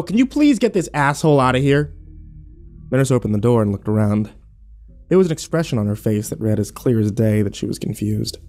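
A man's voice: fairly low pitch, dark tone, natural voice. An actor playing a weary, irritable hospital patient who tells his story in a sullen, sardonic first-person horror narration. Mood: sardonic, annoyed.

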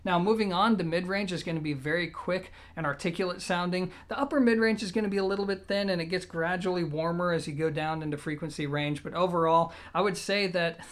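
A man in his thirties giving a casual, conversational product review to camera, explaining things in a measured way. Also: high voice, a bit bright. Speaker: audio gear reviewer. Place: small room indoors.

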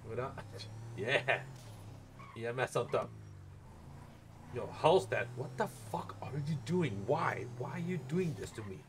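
A man's voice: mid-range voice, expressive, measured pace, pauses often. A man streaming a role-play game live, bantering playfully with plenty of swearing and exclamations. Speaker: streamer role-playing a paramedic. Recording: sirens and vehicle noise behind. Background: game sirens and vehicle sounds.

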